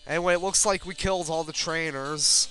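A man's voice: a little high, brisk, sharp tone, poor audio. A man giving casual, rambling video game commentary in a self-deprecating way, with casual swearing. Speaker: let's player. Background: video game music.

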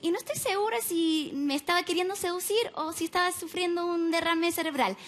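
A young American woman doing stand-up in Spanish with an Argentine-tinged accent, deadpan and ironic, on a TV comedy club stage at a stand mic. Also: very high voice, a little fast. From United States. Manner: deadpan, ironic asides with frequent tag questions. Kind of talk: stand-up routine.

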